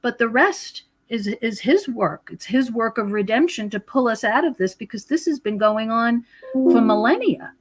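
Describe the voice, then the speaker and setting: A woman's voice: fairly high pitch, animated, moderate pace. Earnest woman explaining spiritual ideas. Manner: earnest, explanatory, quotes scripture. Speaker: podcast guest, author and researcher. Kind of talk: conversational spiritual teaching.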